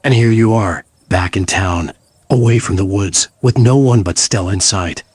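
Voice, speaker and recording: A man's voice: fairly low pitch, moderate pace, darkish tone, husky. A man narrating a visual novel story in the second person, in a scripted storytelling style.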